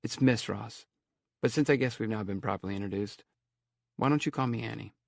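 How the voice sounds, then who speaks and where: Guy doing a reserved young mom in an audiobook, polite, slightly raised pitch, dry recording. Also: fairly quick, creaky, no echo. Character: guarded, polite young single mother. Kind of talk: audiobook character voice.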